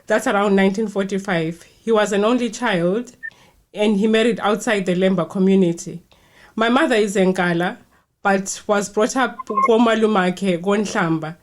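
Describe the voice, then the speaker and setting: A woman from Zimbabwe, hosting a podcast and reading her introduction in a clear, measured way. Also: mid-range voice, slight background noise. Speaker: podcast host. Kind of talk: scripted podcast intro, then interviewing.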